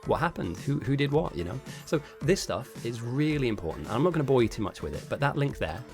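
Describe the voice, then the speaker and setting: A man with a hoarse voice, casting and chatting casually on a live game stream, rambling a little and answering chat. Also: medium pitch, sing-song, quick pace, music in the background. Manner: chatty and rambling, with frequent restarts. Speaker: esports caster and streamer.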